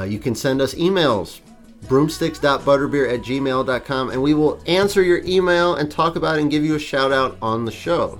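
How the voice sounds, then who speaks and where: A man co-hosting a podcast in casual chat, joking and rambling with lots of fillers, a bit stuffed up from allergies. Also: mid-range voice. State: congested from allergies. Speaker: podcast co-host.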